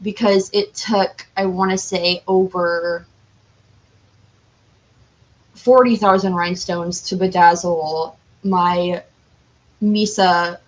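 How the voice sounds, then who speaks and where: A woman's voice: on the low side, steady tone, slow pace, rough recording. Candid, chatty woman in casual conversation. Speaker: podcast guest, cosplayer. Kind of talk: casual interview chat.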